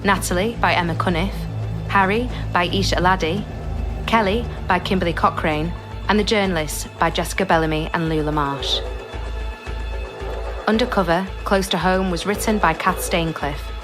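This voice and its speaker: A woman's voice: lowish voice, moderate pace. A woman radio announcer giving a scripted reading of programme credits. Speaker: continuity announcer. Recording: loud music underneath. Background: music.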